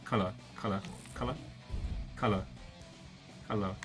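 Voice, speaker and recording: A man's voice: fairly low pitch, steady tone, measured pace. A young British man with a slight American tinge to his accent, chatting loosely with viewers as a VTuber streamer, laughing often and rambling through fillers and restarts. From Britain. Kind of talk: casual chat with stream viewers. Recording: music playing behind the voice.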